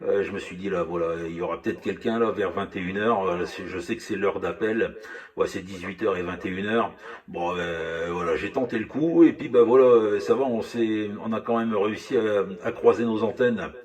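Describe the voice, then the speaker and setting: A man's voice: on the low side, normal speed, darkish tone, recorded from a distance. A man chatting casually over two-way radio as a hobby operator, speaking hesitantly, repeating himself and often apologetic.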